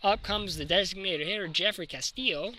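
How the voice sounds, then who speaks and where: A man's voice: high-pitched, a little fast, bright, forceful tone. A man calling baseball play-by-play live, over faint ambient noise. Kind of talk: live sports commentary.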